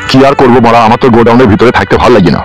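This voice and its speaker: A man's voice: medium pitch, very fast, soft background music, lightly acted. A man voicing a hapless, resigned husband, crude and complaining, in a comedy cartoon. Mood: resigned, grumbling.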